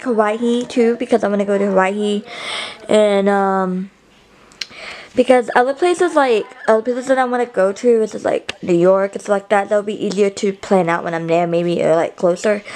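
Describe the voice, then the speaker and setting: A woman's voice: medium pitch, darkish tone. A young woman vlogging casually in her bedroom, talking to a handheld camera held close to her face, rambling with lots of fillers and restarts. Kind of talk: casual vlog chat to camera. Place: small bedroom, indoors.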